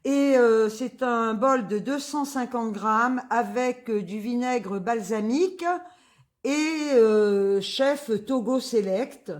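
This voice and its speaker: A woman's voice: a little high, slow pace. A woman, chatting casually through a grocery haul, full of fillers and asides. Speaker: vlogger.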